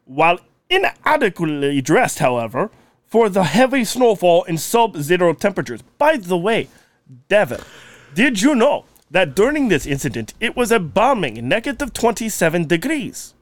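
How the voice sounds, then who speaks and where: Podcast co-host, man, high lively voice, fake Russian accent for laughs, dry close mic. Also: no echo. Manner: mock Russian accent, put on throughout; joking, hammy, deliberate phrasing. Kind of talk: casual podcast chat.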